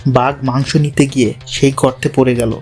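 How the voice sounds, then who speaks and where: A man's voice: mid-range voice, level tone, normal speed, darkish tone. A man narrating a scripted children's fairy tale as storyteller. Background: music.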